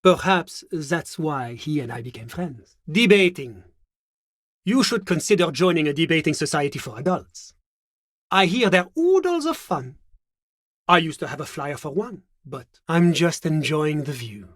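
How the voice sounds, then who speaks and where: Suave, evasive bureaucrat with swooping intonation. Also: male voice, on the high side, big pitch swings, unhurried, fairly bright tone, dry recording, studio quality, heavily acted. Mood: coy, amused. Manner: urbane, coy, condescending, theatrical. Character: suave, evasive male international bureaucrat, smug and genteel. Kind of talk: video game character dialogue.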